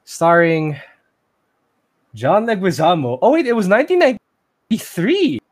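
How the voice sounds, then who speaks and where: A man's voice: sits high, huge pitch swings, unhurried. A man talking informally as a podcast co-host. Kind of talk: casual chat about movies.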